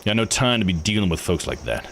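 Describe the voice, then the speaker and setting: Man putting on a deep, gruff old island boss. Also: very animated, fast talker, fairly bright tone, a little hiss or hum, full character voice. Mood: dismissive. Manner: blunt, slangy, domineering. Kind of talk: visual novel read-aloud voice acting.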